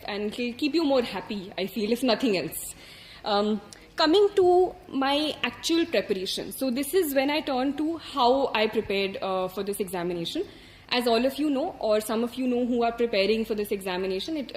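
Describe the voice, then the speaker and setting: A young woman with an Indian English accent speaks in a high, light voice, talking earnestly and thoughtfully through her advice. She pauses often on fillers and restarts her sentences. The room is echoey, with a faint hum of ambience behind her. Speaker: exam topper sharing advice. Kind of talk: reflective advice talk.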